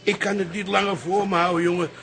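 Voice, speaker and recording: Creaky, blunt old pub father. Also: sits high, little pitch movement, fast talker, a bit bright. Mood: proud, excited. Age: older. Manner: colloquial, grumbling, emphatic. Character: blunt, boastful old pub-owner father expecting a grandson. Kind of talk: radio comedy-drama acting.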